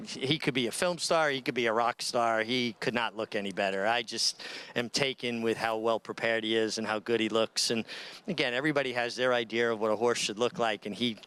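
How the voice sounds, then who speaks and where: A man's voice: mid-range voice, steady tone, fairly quick, pushed and bright, smooth. A middle-aged man giving an enthusiastic, conversational paddock report on horse racing. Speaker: paddock analyst.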